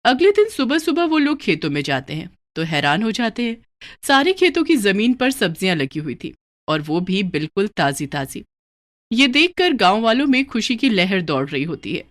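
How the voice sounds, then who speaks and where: A woman's voice: medium pitch, very animated, normal speed. A woman storyteller reading scripted narration for a children's moral tale.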